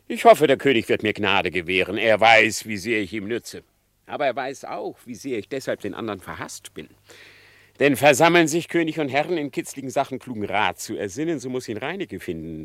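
An actor playing a sly, self-assured fox in a verse radio play, speaking in eloquent theatrical declamation. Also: male voice, lowish voice, a bit bright, dry recording.

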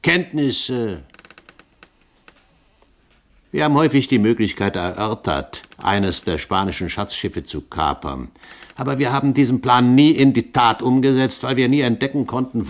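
A man plays a shrewd gentleman pirate in a 1970s radio drama. He speaks at a middling pitch, lofty and precise, with cool command and open condescension. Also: lively intonation, normal speed, close to an everyday voice. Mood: commanding, scornful. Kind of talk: German radio play acting, 1970s.

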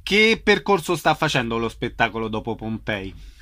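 A man's voice: medium pitch, very animated, normal speed, pushed and bright. A man asking short, conversational questions as an interviewer.

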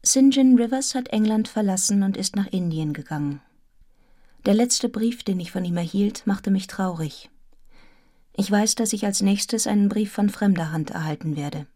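An actress playing a devoted, composed young wife in a radio drama, speaking in a measured, intimate, reflective way. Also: female voice, medium pitch, mellow, natural voice. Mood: sad, resolute. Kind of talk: literary radio drama, first-person narration and dialogue.

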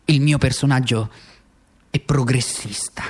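An actor playing a bitterly sarcastic, worn-out communist wage earner in a theatrical, ironic political radio monologue. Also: male voice, medium pitch, fairly even intonation, slightly rough. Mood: frantic, ranting. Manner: theatrical, ironic, swinging from deliberate to frantic.

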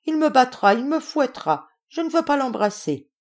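Woman acting out a frightened little boy, high-pitched. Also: normal speed, a little mellow, natural voice. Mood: terrified. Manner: pleading, childlike.

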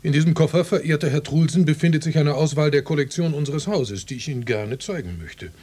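Husky, high-voiced effusive salesman. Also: fairly quick, a little mellow. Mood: eager, polite. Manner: formal, ingratiating sales patter. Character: effusive, over-polite male travelling salesman. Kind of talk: radio play acting.

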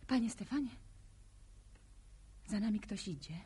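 An actress playing a composed, principled young village schoolteacher in a Polish radio drama, proper and quietly firm. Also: female voice, medium pitch, expressive, unhurried, slightly rough. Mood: cautious.

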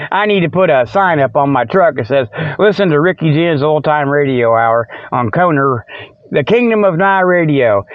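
A man's voice: a little high, no echo. A man hosting a radio show in a rambling, folksy, jokey monologue full of homespun exclamations. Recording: muffled, dull lo-fi radio stream.

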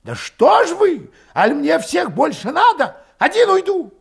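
A man voicing a hot-headed, scornful and excitable young revolutionary in an audiobook, ranting and snapping in rustic dialect. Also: very high voice, normal speed, vocal fry, pitched above the performer's own voice, heavily acted. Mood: bitter, scornful. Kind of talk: audiobook character voice.

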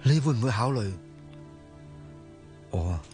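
A man's voice: mid-range voice, animated, a little slow, a little mellow, faint music behind, close to an everyday voice. An actor playing an earnest young man in love in a Cantonese radio drama, insistent and sincere, with a Hong Kong accent. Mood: earnest, pleading.

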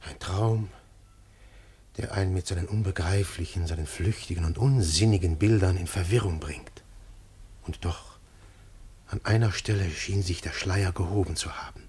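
An actor narrating a radio play as a hunted male detective, husky and grave. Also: sits low, normal speed, darkish tone. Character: hunted male detective telling his story. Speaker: first-person narrator and detective Syme.

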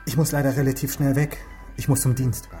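A man's voice: medium pitch, little pitch movement, brisk, warm tone, soft background music, close to an everyday voice. An actor playing a reserved, wary young policeman in a radio crime drama, speaking tersely. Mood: tense. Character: wary young policeman, son of Chinese immigrant mother.

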